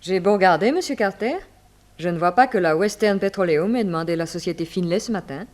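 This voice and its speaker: An actress playing an obliging telephone exchange clerk, polite and precise, in a 1960s French radio serial. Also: female voice, on the low side, a little fast, darkish tone, lightly acted. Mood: helpful.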